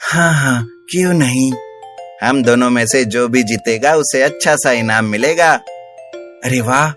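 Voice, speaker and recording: A talking animal in a children's cartoon moral story, acted by a man. The pitch is middling for a male voice, far below the narration. Faint music plays behind it. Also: measured pace, fairly bright tone, natural voice. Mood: friendly. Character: boastful young hare and tortoise in animal fable.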